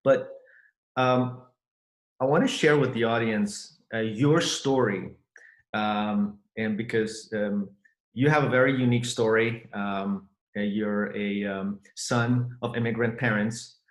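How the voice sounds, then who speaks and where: Man, podcast host, middle-aged, from Germany, earnest, fillers and restarts, lowish voice, echoey room. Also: steady tone, slow. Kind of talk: conversational interview.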